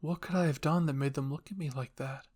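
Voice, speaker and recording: Man voicing a young woman's soft, wondering thoughts. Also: fairly high pitch, fairly even intonation, brisk, natural voice. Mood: anxious, wondering. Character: mute young woman's anxious, curious inner thoughts.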